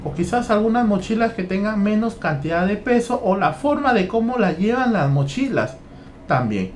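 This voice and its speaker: A man's voice: high-pitched, big pitch swings, moderate pace, slight background noise. A man from Peru training teachers, walking through a lesson plan and repeating phrases as he goes. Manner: repeats phrases, keeps asking "¿ya?".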